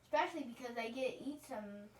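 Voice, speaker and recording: Girl voicing a high, halting little lamb puppet. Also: expressive, slight background noise, close to an everyday voice. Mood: cheerful, earnest. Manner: halting, stumbling read-aloud delivery. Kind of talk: children's puppet show, scripted lines. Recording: roomy, distant home recording.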